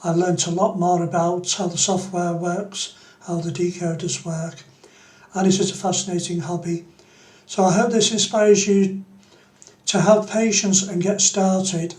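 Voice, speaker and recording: High-voiced Englishman, roomy sound. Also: level tone, unhurried, a little mellow. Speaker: amateur radio hobbyist presenting a tutorial. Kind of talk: informal explanatory tutorial.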